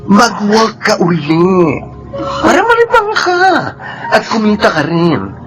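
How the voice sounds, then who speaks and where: Radio drama casting: devout, encouraging Filipino woman, chatty and emphatic, wildly animated intonation. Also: medium pitch, unhurried, music in the background. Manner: colloquial, emphatic, swooping delivery.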